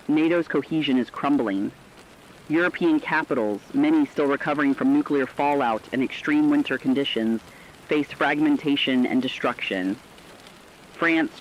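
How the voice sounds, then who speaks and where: A man's voice: mid-range voice, slight background noise, lightly acted. A man playing a solemn radio correspondent, delivering a scripted emergency war report in a formal, dramatic way. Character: somber male radio correspondent reporting global catastrophe. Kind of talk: scripted emergency news broadcast. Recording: dull lo-fi radio sound with rain.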